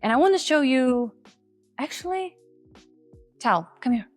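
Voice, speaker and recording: A woman who is not a native English speaker, hosting and teaching in a chatty, animated way. She breaks off now and then to act out example voices. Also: high voice, a little slow, faint music behind. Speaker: confidence coach and host. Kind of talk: casual on-camera teaching.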